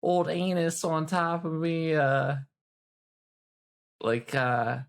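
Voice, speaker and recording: Slangy, lowish-voiced woman role-playing casually. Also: takes it slowly. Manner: flippant, slangy, hesitant. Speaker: tabletop role-playing game player. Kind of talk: casual improvised in-character chat.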